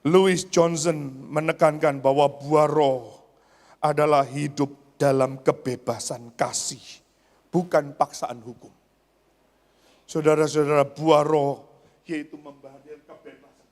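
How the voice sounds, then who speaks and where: A high-pitched male pastor delivers a church sermon in a hall with long reverb. He repeats key phrases emphatically and puts rhetorical questions to the congregation. His delivery moves between raised exclamations and quieter asides. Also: steady tone, a little slow.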